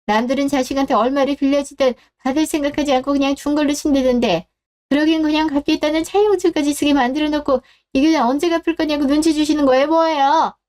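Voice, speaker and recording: A brazen daughter-in-law played by a woman storyteller with a high voice. She talks back with open scorn and sarcasm, confrontational throughout a scripted family drama. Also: fairly even intonation, fast, darkish tone, natural voice. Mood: scornful, irritated.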